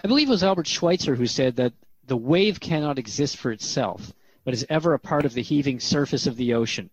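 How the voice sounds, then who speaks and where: A man's voice: mid-range voice, expressive, brisk, breathy edge, no echo, rough recording. A man playing an earnest, inquisitive radio host in a scripted talk-show drama, speaking conversationally. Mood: curious. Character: earnest, curious male radio host questioning a spirit guest.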